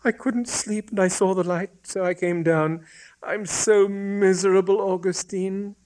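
A woman's voice: medium pitch, fairly even intonation, unhurried, a little mellow, voice pushed up, lightly acted, poor audio. A man voicing an earnest, naive young woman with a plaintive, innocent manner in a comic story. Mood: miserable, tearful.

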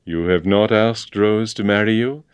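A man's voice: on the low side, normal speed, clean tone, no room sound, lightly acted. A man voicing a stern, sentimental old colonel with a grave, emphatic military manner, in a storybook reading. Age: older.

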